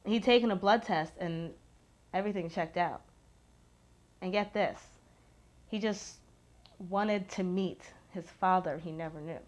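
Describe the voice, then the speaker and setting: A woman's voice: on the low side, unhurried, pauses often, natural voice. Candid girlfriend played by a woman, self-assured, frank, confiding delivery for an interview-style drama. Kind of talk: dramatised documentary interview monologue.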